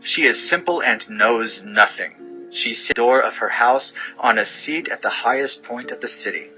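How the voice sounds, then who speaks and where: Forceful, sharp-voiced male scripture narrator. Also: medium pitch, normal speed, poor audio. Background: music bed.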